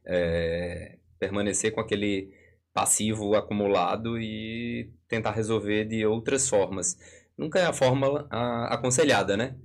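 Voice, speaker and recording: A man with a Brazilian accent explaining things didactically in a live discussion, working through hypothetical examples. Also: on the low side.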